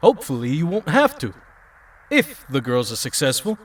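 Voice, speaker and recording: An actor playing an earnest, excitable young superhero in an audio drama, with a casual, boyish manner. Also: male voice, on the high side, moderate pace. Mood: earnest, subdued. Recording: slightly distant microphone.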